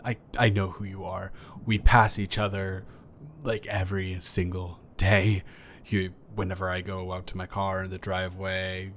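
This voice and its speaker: A man's voice: low voice, a bit bright, slight background noise. A man playing an awkward, friendly neighbor in an improvised roleplay audio, stammering and rambling hesitantly.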